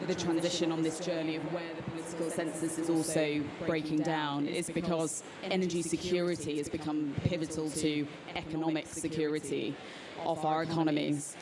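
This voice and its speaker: A woman speaking as a conference panelist in a measured, policy-focused way. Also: low voice. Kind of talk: panel discussion answer. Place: conference pavilion. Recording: noisy crowded event hall. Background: loud crowd chatter.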